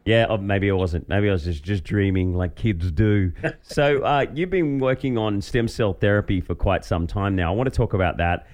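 Man, Australian accent, podcast host, fairly low pitch, informal, self-mocking interview chat. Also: moderate pace, hardly any pauses, darkish tone. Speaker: professional voiceover artist.

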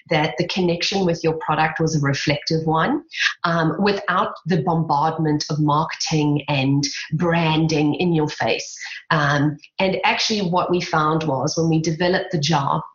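A woman with a South African accent and a low-pitched voice talks as an interview guest. She sounds articulate and reflective, explaining things conversationally. The recording is roomy and a little dull. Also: fairly even intonation, normal speed. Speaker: podcast guest, skincare brand founder. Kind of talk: conversational interview about own brand.

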